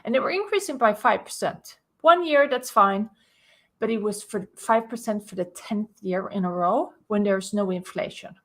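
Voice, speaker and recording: A woman's voice: mid-range voice, fairly bright tone. Swedish-born woman explaining her business. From Sweden. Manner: clear, explanatory, laughs lightly. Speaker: guest, business consultant. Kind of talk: interview storytelling. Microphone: video call.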